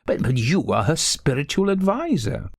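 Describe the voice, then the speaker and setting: A man's voice: on the high side, big pitch swings, moderate pace, vocal fry, breathy edge, dry recording, clean studio sound, voice pushed up, exaggerated voice. A man voicing a bossy, indignant rector's wife in an audiobook, emphatic and insistent. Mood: indignant, scornful. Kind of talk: audiobook character voice.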